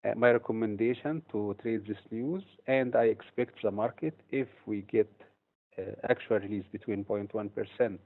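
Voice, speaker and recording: A man from Egypt, a trading instructor, presents a webinar in a steady, explanatory way with occasional hesitations. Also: fairly low pitch. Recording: tinny phone-like audio.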